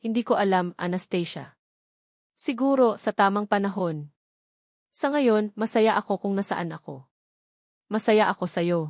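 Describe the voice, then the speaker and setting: A woman voicing a gentle man, a former doctor now working as a security guard, who speaks with tender humility. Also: mid-range voice, unhurried, pauses often, no room sound, studio quality, close to an everyday voice. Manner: tender, humble.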